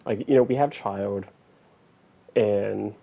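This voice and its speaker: A man's voice: fairly low pitch, slow pace, creaky, lo-fi sound. A man doing casual game commentary as a streamer, musing aloud in a dry, hesitant way. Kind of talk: casual playthrough commentary, reading some lines aloud. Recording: game music behind.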